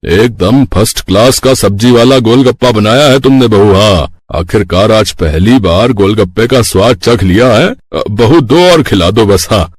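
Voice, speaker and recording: अभिनेता की आवाज़ में गरीब घर का बुज़ुर्ग ससुर, सूखी नज़दीकी रिकॉर्डिंग. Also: male voice, lowish voice, expressive, unhurried, hardly any pauses, no room sound. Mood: pleased. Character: grumbling elderly father-in-law of a poor family. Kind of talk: Hindi moral-story cartoon voice acting.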